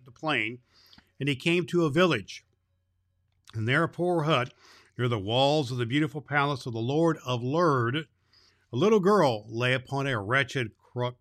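A man's voice: mid-range voice, fairly bright tone, no room sound, studio quality. A man reading a children's story aloud as a storyteller, expressively, with small stumbles over the words.

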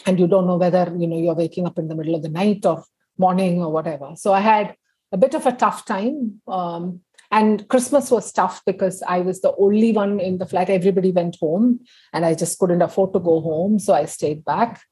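Wanita India bersuara serak, bercerita santai. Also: female voice, lowish voice, fairly quick, dark tone, slightly rough. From India (Kerala). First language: Tamil. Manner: chatty, many fillers, laughs through stories. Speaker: guest. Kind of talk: casual interview chat.

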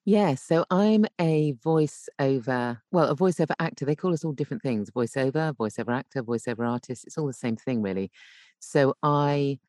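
A woman's voice: a bass voice, animated, moderate pace, a little mellow, no echo. A woman guest chats in a conversational interview style, often correcting herself mid-thought. Her voice is recorded very dry, with almost no room sound.

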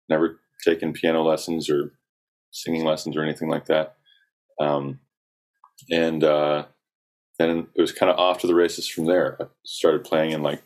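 A young man with a very low, rumbling voice talks loosely about his path as a singer-songwriter. He keeps an even, flat delivery and rambles with frequent ums and likes. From South Carolina, US. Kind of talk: casual interview talk.

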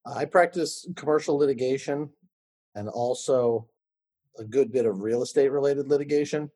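A man in his thirties, an attorney giving a podcast interview, answering in a measured way with fillers and restarts. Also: medium pitch, mellow, slightly rough.

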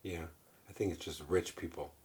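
A young man with a very deep, low voice trades jokes as a podcast co-host. He riffs loosely and swears freely in an offhand, casual way. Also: steady tone, normal speed, crowd noise. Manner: jokey, crude, riffing. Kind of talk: casual chat.

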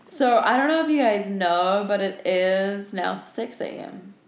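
A woman's voice: mid-range voice, lively intonation, measured pace. A tired woman co-hosting a casual podcast, talking in a dry, informal way. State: tired after staying up all night. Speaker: podcast co-host. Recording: roomy echo.

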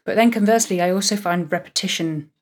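Young adult woman, actor guest; low-pitched, chatty and enthusiastic. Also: fast talker. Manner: chatty, enthusiastic, thinks aloud with ums. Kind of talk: casual interview chat.

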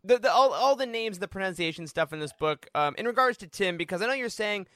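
Man, high-pitched voice, dry close sound, podcast interviewer, casual, enthusiastic, agreeable. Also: expressive, quick pace, bright, forceful tone, no room sound. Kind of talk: casual chat.

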